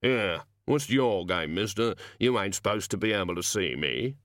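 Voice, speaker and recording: A man's voice: fairly low pitch, lively intonation, moderate pace, a bit bright, no echo, studio quality. A man voicing a small shabby gnome thief in a storybook reading, pleading and evasive, with hesitant, uneducated street speech. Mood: warning.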